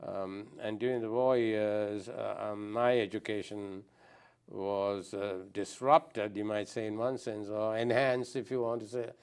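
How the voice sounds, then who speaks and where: An older man from Sri Lanka, a guest answering interview questions thoughtfully, with many pauses and restarts. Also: on the low side, clear, resonant tone. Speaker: guest anthropologist.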